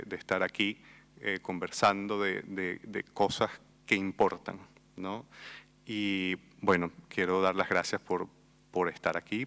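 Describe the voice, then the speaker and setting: A man from Venezuela with a Venezuelan Spanish accent, speaking thoughtfully on a literary festival panel, pausing and repeating words as he thinks. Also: low-pitched, creaky, pushed and bright. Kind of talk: reflective panel talk. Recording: long hall reverb.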